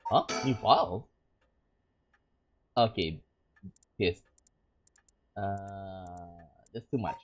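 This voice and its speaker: A man's voice: fairly low pitch, very slow, long pauses, soft background music. A man from Malaysia, hosting a let's-play as a VTuber, rambling with plenty of fillers between reading the game's text. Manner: rambling, full of fillers like "like" and "you know". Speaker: VTuber gaming host.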